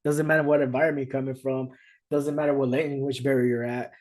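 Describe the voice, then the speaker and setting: A man's voice: medium pitch, little pitch movement, fast talker, darkish tone. A man hosting a podcast over a video call, talking in a casual, upbeat way and often laughing or repeating himself. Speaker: podcast host. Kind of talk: podcast interview. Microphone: remote video call.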